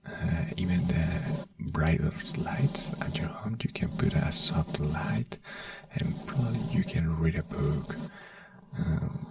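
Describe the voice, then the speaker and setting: Slow whispering man, low and gravelly. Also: darkish tone, dry recording, distant mic. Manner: slow soothing whisper with frequent fillers. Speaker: ASMR roleplay doctor. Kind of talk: whispered ASMR roleplay.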